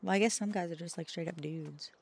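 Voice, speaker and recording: Gravelly-voiced woman streamer, swearing freely. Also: on the low side, fast talker, slight background noise. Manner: swears often, self-deprecating, chatty. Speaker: VTuber streamer. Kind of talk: casual livestream chat, answering chat.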